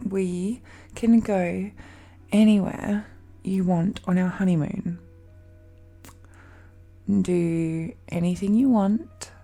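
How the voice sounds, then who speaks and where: An affectionate, possessive dragon-blooded fiancée, played by a woman in a romantic audio roleplay. Her voice is fairly low and mellow, with some gravelly fry. She murmurs tenderly and hesitantly, as if close to her beloved. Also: heavily acted.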